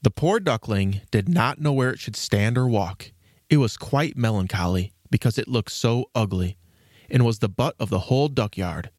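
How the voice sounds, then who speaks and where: A man narrates a storybook in an expressive, scripted style. His voice sits at a medium pitch with very animated intonation, and the sound is dry. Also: dry recording.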